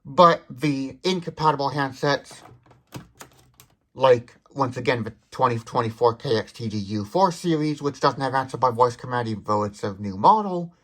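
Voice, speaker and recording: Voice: mid-range voice, expressive, normal speed, fairly bright tone. A person giving an unscripted tech demonstration, explaining things in careful detail. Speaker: product demonstrator.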